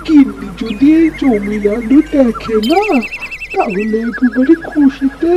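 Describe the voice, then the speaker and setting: Woman doing a gullible henpecked farmer guy in a cartoon, high pitched, keeps repeating himself, noisy animal sounds behind. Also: animated, slow, highs cut off. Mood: delighted. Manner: exclamatory, repeats phrases. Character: excitable, pious, gullible male farmer, henpecked husband. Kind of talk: animated storybook cartoon dialogue.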